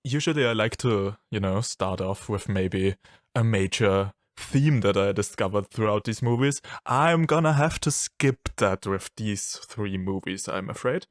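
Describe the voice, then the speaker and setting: A man's voice: on the low side, a little slow, dry recording, studio quality. A man from Austria, hosting a casual film podcast and laughing often. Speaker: podcast host.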